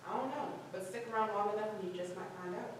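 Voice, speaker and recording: A woman's voice: mid-range voice, quick pace, close to an everyday voice. A woman acting a friendly, encouraging young drama student in a staged play reading. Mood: reassuring. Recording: distant echoey room, clear noise.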